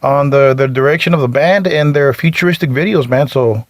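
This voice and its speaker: A man's voice: medium pitch, a little fast, dry recording. A man from Texas hosting a metal podcast, talking in a laid-back way with many fillers. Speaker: podcast host, interviewer. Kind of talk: casual podcast interview.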